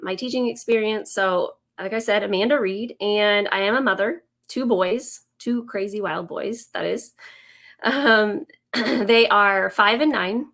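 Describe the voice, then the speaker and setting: A woman in her thirties, raspy from a summer cold, talking slowly and warmly to viewers on a live stream as a business coach, with many ums. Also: mid-range voice. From Indiana, US. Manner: slow, friendly, many ums.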